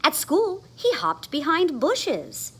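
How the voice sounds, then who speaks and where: High-pitched, very animated woman reading a storybook. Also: takes it slowly. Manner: expressive, dramatic emphasis on sound words. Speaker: storybook reader. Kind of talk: animated children's read-aloud.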